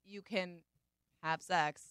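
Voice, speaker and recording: A young woman with a low voice and a bright, forceful edge. She speaks in a measured, matter-of-fact way in casual talk. The sound is close and dry. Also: steady tone, clear, resonant tone, dry recording. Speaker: podcast guest. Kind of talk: casual chat.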